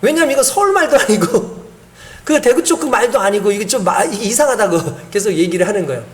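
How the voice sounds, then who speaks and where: High, lively-voiced male pastor preaching. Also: a little fast. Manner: earnest, emphatic preaching with rhetorical questions to the congregation. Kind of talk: sermon.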